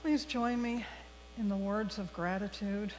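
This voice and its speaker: A woman leading a worship service, reading liturgy and giving announcements to a congregation in measured, deliberate phrases. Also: mid-range voice, steady tone, darkish tone. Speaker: worship leader. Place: large church sanctuary. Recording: long hall reverb, faint mains hum.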